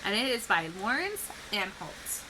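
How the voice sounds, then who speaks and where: A woman speaking like a teacher to young children, explaining things clearly and posing questions in an educational read-aloud. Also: mid-range voice, sing-song, unhurried, noisy background. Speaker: teacher reading a picture book to children.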